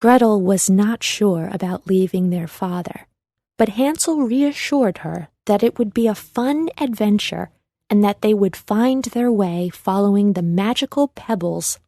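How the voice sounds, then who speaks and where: Slow, mellow woman reading a storybook. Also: medium pitch, no echo, clean studio sound. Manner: measured read-aloud delivery. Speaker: storybook narrator. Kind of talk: scripted children's storybook narration.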